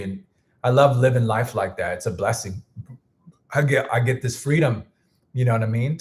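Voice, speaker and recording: Easygoing man chatting on a livestream. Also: lowish voice. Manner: loose, rambling, thanks viewers, many restarts. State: losing his voice. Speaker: music producer and livestream host. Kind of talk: casual livestream chat.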